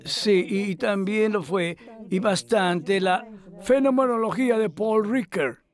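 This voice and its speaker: A man's voice: high-pitched, unhurried. A male actor dubbing a cultured older scholar who speaks reflectively and hesitantly in a conversational interview. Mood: reminiscing. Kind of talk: voice-over dub of a conversational interview.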